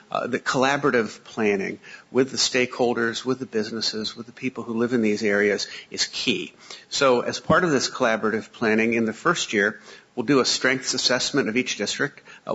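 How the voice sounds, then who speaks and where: A man with a slightly hoarse, husky voice presents to a city commission in a public meeting room. He works through his points one by one, with many "uh" fillers along the way. The recording is dull and lo-fi. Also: medium pitch, fairly bright tone. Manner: frequent "uh" fillers, methodical listing. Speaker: city agency staff presenter.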